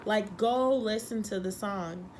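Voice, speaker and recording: Young female tarot reader, slightly hoarse, earnest and emphatic, light background hiss. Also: medium pitch, takes it slowly, darkish tone. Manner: earnest, emphatic, repeats herself. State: losing her voice, a little sick. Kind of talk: tarot reading monologue.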